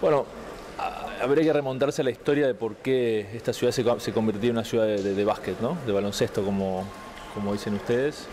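A man's voice: lowish voice, fairly quick, darkish tone. Argentine man with a noisy background. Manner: thoughtful, many restarts and filler "eh". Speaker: interviewee. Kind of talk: relaxed interview answers. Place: indoor room, seated on a couch. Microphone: handheld mic held out by interviewer.

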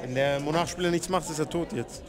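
A young man co-commentating a card game while feeling under the weather, making quiet, thoughtful remarks. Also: fairly high pitch, a little fast, crowd noise. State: under the weather, low energy.

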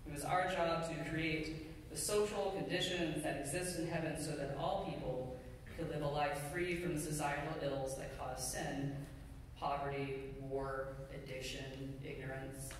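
A middle-aged pastor preaching a sermon in a measured, deliberate way with pauses. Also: mid-range voice, fairly even intonation. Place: church sanctuary pulpit, indoors. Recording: very echoey hall, distant mic, faint noise.

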